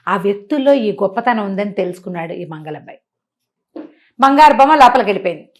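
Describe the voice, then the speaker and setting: A woman telling a moral tale in a conversational, animated way, putting questions to the listener and acting out dialogue. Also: medium pitch, fast talker. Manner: conversational, uses rhetorical questions and acts out dialogue. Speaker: storyteller and motivational speaker.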